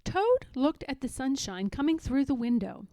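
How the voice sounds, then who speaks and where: A woman's voice: high-pitched, sing-song, moderate pace, warm tone, no echo. A woman reading a children's storybook aloud as the storyteller.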